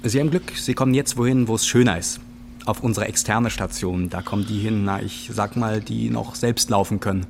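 A man's voice: on the low side, fairly quick, vocal fry, a little hiss or hum. An actor playing a breezy, soothing male hospital worker in a radio drama. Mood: reassuring.

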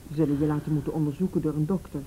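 Woman acting calm lady asking careful questions in a radio drama, low soft-edged mellow voice, noisy rumbly recording. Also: lightly acted. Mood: reassuring, resolute. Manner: measured, gentle questioning. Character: calm, probing woman interviewer helping an abused girl. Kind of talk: Dutch radio play acting.

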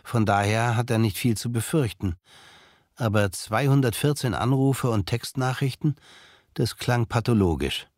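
A man narrating a crime audio drama in a measured, matter-of-fact manner. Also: mid-range voice, lively intonation, darkish tone, dry recording, clean studio sound, natural voice. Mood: dry, measured.

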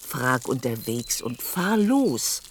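Old woman, radio play, motherly, bossy, knowing, animated intonation, faintly noisy recording. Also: very deep voice, slow, exaggerated voice. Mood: urging, knowing. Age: older. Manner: commanding, motherly advice-giving. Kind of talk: German radio play acting.

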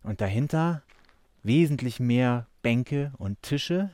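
A man describing what he sees as he walks, halting and repeating words as he searches for them. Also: medium pitch, animated, dark tone. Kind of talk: spontaneous spoken description of surroundings while walking.

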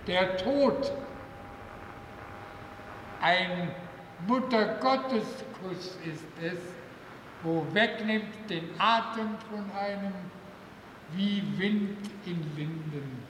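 Echoing, very slow, high-voiced man reciting. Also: a bit bright. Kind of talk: reciting poetic verse. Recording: echoey hall, faint noise.

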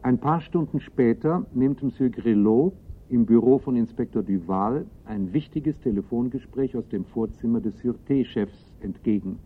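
An actor as the composed storyteller of a radio crime drama, reading scripted narration. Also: male voice, mid-range voice, narrow, tinny audio, a little hiss or hum, close to an everyday voice.

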